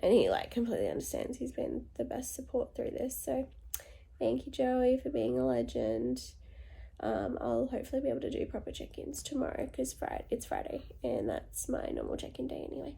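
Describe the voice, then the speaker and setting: Young woman, vlogger, lost her voice: raspy, gravelly, higher pitch, casual diary delivery. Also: huge pitch swings, brisk, mellow. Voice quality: croaky. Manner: tired, low-key, upbeat despite illness. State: hoarse from illness; congested, chesty cough.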